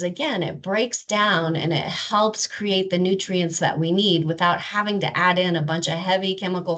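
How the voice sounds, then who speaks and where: A woman giving a webinar talk on gardening, relaxed and informative, with frequent filler words. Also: medium pitch. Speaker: rose garden presenter. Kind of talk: webinar presentation.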